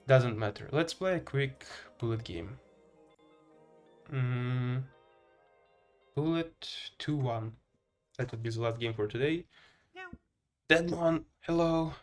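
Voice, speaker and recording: Man, Ukrainian accent, average pitch, casual game streaming, hesitant thinking aloud, music in the background. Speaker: live streamer playing chess. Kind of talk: casual live game commentary and chat with viewers.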